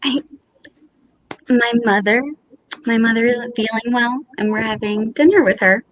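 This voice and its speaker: A woman's voice: on the high side, a little slow, close to an everyday voice. A woman playing a coy, evasive neighbor wife in an amateur old-time radio comedy. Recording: dull lo-fi.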